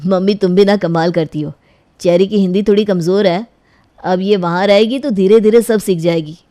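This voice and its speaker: A woman acts out an easygoing adult son in a comic cartoon. The voice is dark and mellow, with a reassuring delivery. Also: mid-range voice, normal speed, lightly acted. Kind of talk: Hindi comic cartoon acting.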